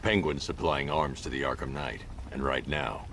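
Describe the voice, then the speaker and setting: An actor playing a grim, commanding masked vigilante in a video game, with a gravelly voice and a terse, intimidating manner. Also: male voice, very deep voice, normal speed, a bit bright, slight background noise. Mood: stern, controlled.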